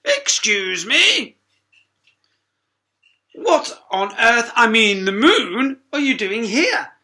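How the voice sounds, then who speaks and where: A woman acts out a haughty male hippo explorer from a children's storybook. He blusters with indignation, forceful and emphatic, his raised voice swooping up and down. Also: on the high side, sing-song, extremely slow, voice pushed up, heavily acted. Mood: indignant.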